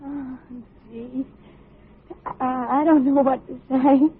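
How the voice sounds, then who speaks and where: A tinny old radio recording of a timid, earnest young sweetheart. Her voice is very high, hesitant and full of feeling, in classic radio drama style. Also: steady tone, takes it slowly, slightly rough, full character voice. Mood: unsure. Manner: hesitant, emotional. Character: earnest, timid, grateful young woman in love.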